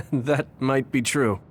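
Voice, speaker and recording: A man's voice: mid-range voice, a little hiss or hum. An actor playing an earnest, thoughtful young male platoon leader in a video game dub.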